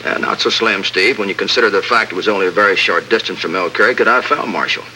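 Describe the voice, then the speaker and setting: An actor playing a steady American lieutenant in a 1950s radio drama, crisp and matter-of-fact. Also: male voice, lowish voice, fast talker, slight background noise, poor audio. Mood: earnest, briefing. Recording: old radio recording, faint music.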